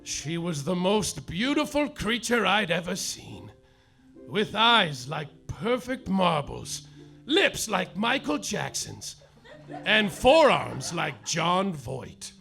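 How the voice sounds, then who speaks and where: A man playing a boozy, hard-boiled male private eye, narrating in a mock-noir deadpan that drags out its punchlines, live on a stage over music. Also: sits high, slow, vocal fry. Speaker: comedic detective narrator. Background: live music and audience laughter.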